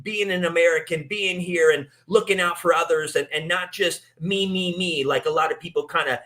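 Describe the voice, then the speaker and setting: A man's voice: on the high side, steady tone, moderate pace, sharp tone. A man hosting a casual interview, talking in long rambling turns full of restarts and fillers. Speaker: podcast host. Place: in Italy.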